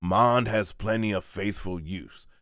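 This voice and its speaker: A man's voice: lowish voice, measured pace, a bit bright, no room sound, clean studio sound. A man voicing an oily, scheming diplomat in a fantasy manga dub, formal and insistent. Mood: smooth persuasion. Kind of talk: dramatic manga voice-over.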